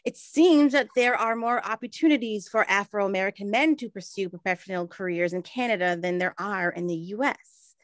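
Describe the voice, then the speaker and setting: A woman's voice: mid-range voice, normal speed, few pauses, no room sound, close to an everyday voice. A woman playing an earnest, proper 1920s woman in an audio drama, explaining things carefully. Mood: happy, confiding.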